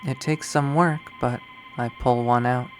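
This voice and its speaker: A man's voice: medium pitch, unhurried, soft background music, close to an everyday voice. A man voicing a brooding, obsessive young woman killer. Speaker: visual novel reader. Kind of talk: dramatic first-person readthrough.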